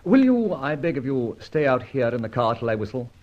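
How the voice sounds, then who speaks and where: An actor playing a dashing, sardonic masked vigilante in an old-time radio drama, speaking in a theatrical, clipped and commanding way. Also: male voice, mid-range voice, sing-song, brisk, darkish tone, lightly acted. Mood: tender, theatrical. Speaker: lead actor in a radio detective serial. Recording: dull vintage radio recording.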